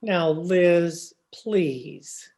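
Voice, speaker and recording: A man's voice: a little high, drawn-out words, darkish tone. A man playing a flustered, mild-mannered banker husband in an old-time radio comedy, hesitant and exasperated. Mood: nervous.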